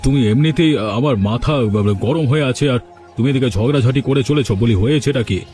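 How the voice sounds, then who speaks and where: A man's voice: mid-range voice, a little fast, warm tone, soft background music, close to an everyday voice. A man playing a stubborn, irritable older village husband in a cartoon, brushing off complaints. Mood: irritated, dismissive. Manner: irritable, dismissive. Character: grumpy scheming old village husband, stubborn and dismissive. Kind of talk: cartoon dialogue acting.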